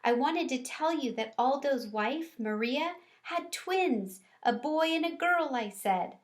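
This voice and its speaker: A woman reads as a small boy hamster from a storybook, eager and kindly. The voice is lifted and excitable, lightly squeaky. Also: slow pace. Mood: friendly.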